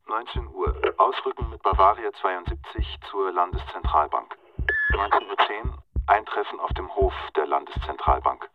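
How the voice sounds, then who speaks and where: An actor playing an impersonal police official who reads a timed incident log in clipped, bureaucratic phrases for a radio drama. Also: male voice, sits low, normal speed, over music. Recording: dull, distant, lo-fi sound.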